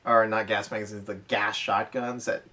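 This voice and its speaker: A man's voice: fairly low pitch, level tone, normal speed, pushed and bright. A man in casual, unscripted conversation, explaining things and thinking aloud in loose, run-on sentences. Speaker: co-host of an airsoft podcast. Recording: voice distant from the microphone.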